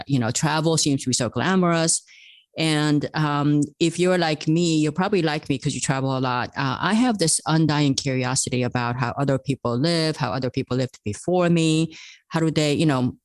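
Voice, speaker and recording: A woman's voice: low voice, no echo. Rambling woman podcast host, dry sound. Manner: rambling, lots of "you know" and restarts. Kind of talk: conversational interviewing.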